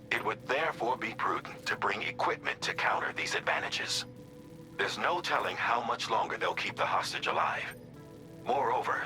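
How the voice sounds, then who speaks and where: An actor playing a measured, formal male handler who gives precisely worded, scripted briefings in a video game. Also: on the low side, natural voice, rough recording. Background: music.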